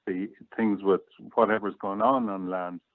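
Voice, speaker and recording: A man's voice: on the low side, fairly even intonation, unhurried, dry recording. A middle-aged Irish man chatting casually as an interview guest, reminiscing over a call. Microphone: online call or phone line. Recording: muffled phone-like, dry online call.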